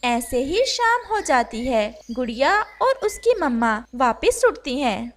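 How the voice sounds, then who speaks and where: A woman's voice: high voice, big pitch swings, unhurried. A woman giving scripted narration for a cartoon children's story.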